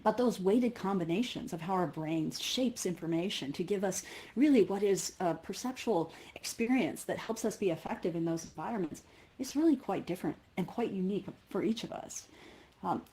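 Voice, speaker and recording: Lower-pitched woman giving a keynote talk. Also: dark tone. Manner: halting, thinking aloud with rephrasing. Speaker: keynote speaker. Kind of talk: conference keynote with personal anecdotes.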